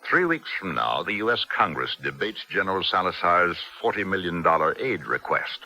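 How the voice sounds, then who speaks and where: Deep, forceful American diplomat. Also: male voice, very animated, full character voice. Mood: grave, guarded. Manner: measured, formal, diplomatic. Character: cautious American consul, measured diplomat. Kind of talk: radio drama acting.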